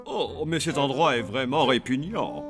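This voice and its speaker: A man's voice: medium pitch, expressive, measured pace. A man voicing a fussy, eager father and hotel owner in a French children's cartoon, theatrical and chatty. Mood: appalled. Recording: loud music behind the voice.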